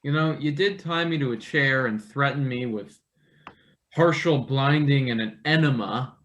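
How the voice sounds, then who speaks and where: A man's voice: medium pitch, measured pace, a bit bright, highs cut off, close to an everyday voice. A man playing a nervous but cheeky new intern in a live play reading, with a hesitant, awkward delivery. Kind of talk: live table read of a satirical play.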